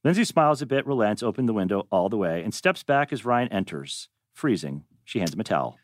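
A man's voice: lowish voice, very animated, fairly quick, dry recording, studio quality. A man narrating stage directions from a script at a table read.

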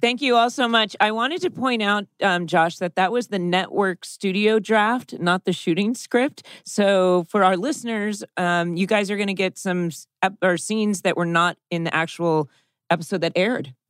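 A woman's voice: mid-range voice, moderate pace, clean tone, dry recording. Casual female host, dry close sound. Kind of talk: casual chat.

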